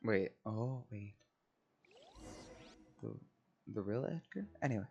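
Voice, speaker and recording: A woman's voice: a bass voice, expressive, slow, warm tone, breathy edge, muffled recording. A woman giving casual playthrough commentary as a streamer, muttering wry, sweary asides. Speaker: gaming streamer.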